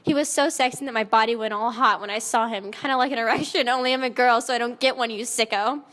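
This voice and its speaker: A woman's voice: a little high, fairly even intonation, quick pace, fairly bright tone. A woman narrating fan fiction in a mock-dramatic style, often close to giggling at the text. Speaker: narrator and reader. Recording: long echoing reverb.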